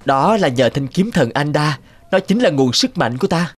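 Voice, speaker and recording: A man's voice: a little high, sing-song, normal speed, slightly rough. A man playing a brave young prince in a cartoon, speaking in an earnest, heroic manner. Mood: confident, courteous. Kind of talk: cartoon voice acting. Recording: music behind.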